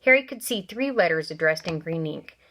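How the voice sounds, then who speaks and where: A woman reading a children's novel aloud, with animated character voices and chatty asides to young listeners. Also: fairly low pitch, brisk. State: coughing from allergies. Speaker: reader.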